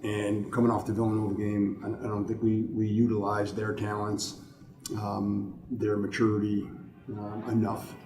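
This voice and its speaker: A man speaking as a head coach, giving unscripted interview answers in halting, thoughtful phrases. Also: fairly low pitch, monotone, darkish tone, reverberant, poor audio. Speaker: college lacrosse head coach.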